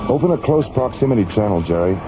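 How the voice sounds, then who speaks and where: An actor playing a stern, authoritative space commissioner in a radio drama, formal and commanding. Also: male voice, lowish voice, animated, quick pace, background noise, close to an everyday voice. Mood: stern, official. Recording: dull lo-fi radio drama tape.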